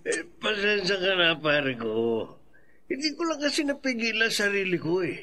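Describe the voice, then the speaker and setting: An actor playing a skeptical, teasing friend in a Filipino radio drama. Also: male voice, sits high, sing-song, normal speed, pushed and bright, heavily acted. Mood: amused, skeptical. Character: skeptical, teasing male friend who scoffs.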